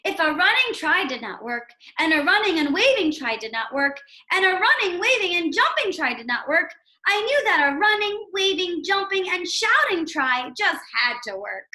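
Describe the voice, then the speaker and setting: A woman's voice: very high voice, very animated, moderate pace, sharp tone, echoey room, voice pushed up, full character voice. A woman voicing a positive, encouraging frog friend in a storybook read-aloud, coaxing and emphatic. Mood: proud, emphatic.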